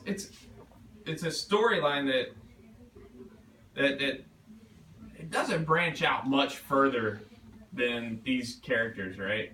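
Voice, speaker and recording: A man with a medium-pitched voice talks informally as an author taking questions. He rambles, thinking aloud in a self-deprecating way. He is picked up from a distance in an echoey room with a noisy background. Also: bright, forceful tone. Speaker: author at a book reading. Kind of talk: informal question-and-answer talk at a reading. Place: bookstore event room. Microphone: distant room microphone.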